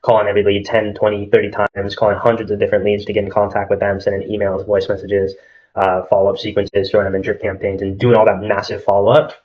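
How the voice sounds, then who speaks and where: A man's voice: lowish voice, flat delivery, fast talker, lo-fi sound. A young man from Arizona running a sales call, casual and filled with "like" and "at the end of the day". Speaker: marketing agency salesman.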